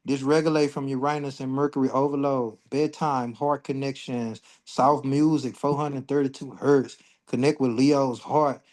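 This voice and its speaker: A man's voice: mid-range voice, fairly even intonation, normal speed, hardly any pauses, darkish tone. A man in his forties hosting an astrology livestream, talking casually and bluntly, with lots of profanity, and getting worked up when he rants. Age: 40s.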